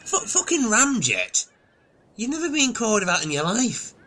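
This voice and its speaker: Man doing sweary sarcastic Pietro, bright and high. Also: huge pitch swings, normal speed, full character voice. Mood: mocking. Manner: sweary, mocking. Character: crude sarcastic male islander Pietro.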